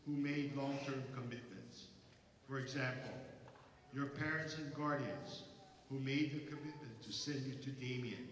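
An older man with a Hawaii accent, reading a formal commencement address as school president from a lectern, in measured phrases. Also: mid-range voice, a bit bright, distant mic, slight background noise. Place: large concert hall. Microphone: lectern mic. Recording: echoey hall, voice from afar.

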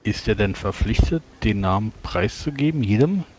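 A man with a fairly low voice takes part in a tabletop role-playing game. He speaks in character at a deliberate pace. He often trips and repeats words. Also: expressive, darkish tone. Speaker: player.

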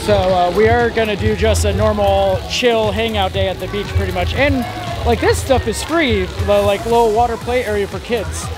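Young male vlogger, high-pitched, informal and rambling, recorded outdoors over background music. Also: moderate pace. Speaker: travel vlogger. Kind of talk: casual vlog chat. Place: outdoors at a beach and island park.

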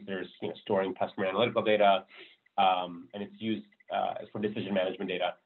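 A man giving a casual technical presentation on a video call, loose and rambling, with many fillers. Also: fairly low pitch. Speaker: meetup presenter. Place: home room on a video call. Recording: thin phone-like call audio.

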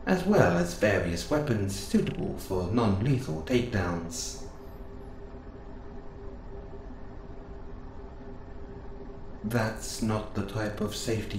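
A low-pitched male robot assistant, acted by a man, curious and devoted, speaks to the listener in an intimate audio roleplay. His wording is formal, precise and earnest. The recording sounds roomy, with clear noise behind the voice. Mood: curious, reassuring.

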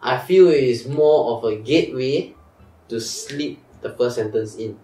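A woman's voice: a bass voice, measured pace, mellow, echoey room, distant mic, soft background music. A young Singaporean woman in casual chat who laughs often and reacts with animation. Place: small indoor studio with couches.

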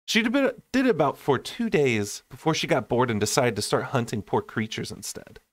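A man's voice: medium pitch, big pitch swings, normal speed, no echo, pitched above the performer's own voice, natural voice. A man acts out a sassy young woman from a fantasy storybook. The delivery is blunt, teasing and emphatic, with wry humor. The sound is dry, with very little room. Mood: frank, teasing.

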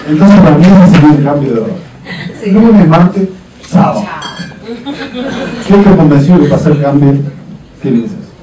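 A man's voice: a little high, animated, normal speed, long pauses, far from the mic, crowd noise. A man in his forties from Uruguay with a Uruguayan accent, doing a rambling, self-mocking stand-up routine into a handheld mic on a club stage. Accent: Uruguayan Spanish. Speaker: stand-up comedian. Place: dark comedy club stage with live audience. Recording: roomy club sound, audience laughter.

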